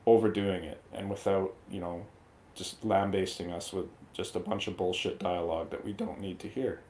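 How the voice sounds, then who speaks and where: Echoey, low-voiced man talking movies. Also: fairly even intonation, moderate pace, darkish tone, a little hiss or hum. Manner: profane, rambling, conversational. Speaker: movie review panelist on a radio show. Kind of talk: casual opinionated movie discussion. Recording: roomy, echoey sound.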